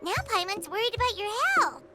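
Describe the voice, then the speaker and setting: Squeaky little fairy girl sidekick. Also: fairly quick, bright, forceful tone, faint music behind. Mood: surprised, concerned. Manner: squeaky, cute, speaks of herself in third person. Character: tiny childlike floating girl companion, cheeky and chatty. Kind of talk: English video game voice acting.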